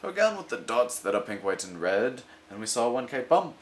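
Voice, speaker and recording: Animated man reading a rhyming storybook. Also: medium pitch, moderate pace, roomy sound. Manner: expressive, sing-song rhythm. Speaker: amateur storybook reader. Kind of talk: rhyming children's story read aloud. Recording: steady background noise, home recording.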